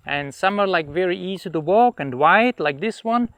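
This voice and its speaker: A middle-aged man from Austria, a hotel owner, talking in a relaxed and rambling way with restarts while walking his jungle property. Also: sits high, normal speed, no echo. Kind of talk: casual interview on a property tour.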